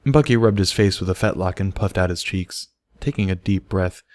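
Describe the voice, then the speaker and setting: A man narrates a written story for an audiobook. His voice is fairly low. The recording is dry, with very little room sound. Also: normal speed, a little mellow, no room sound.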